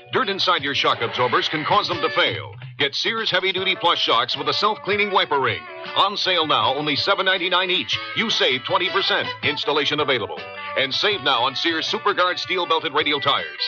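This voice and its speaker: Higher-voiced American male announcer over music. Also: lively intonation, a little fast. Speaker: commercial announcer. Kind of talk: 1970s retail radio ad read. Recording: muffled old radio broadcast.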